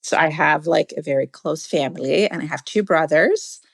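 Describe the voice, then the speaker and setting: A woman's voice: deep voice, moderate pace, creaky, no room sound. A young adult woman from Sweden, a podcast guest, telling reflective stories about her family in a casual conversation. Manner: reflective, warm storytelling with many "like" fillers.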